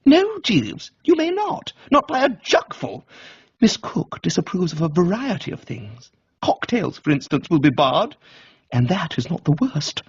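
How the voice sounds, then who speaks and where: A man playing an excitable, dim but amiable upper-class English young gentleman, plummy and flustered, in a comic radio reading. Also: sits high, a little slow, husky, dry recording, dull audio, voice pushed up, full character voice. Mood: flustered, aggrieved.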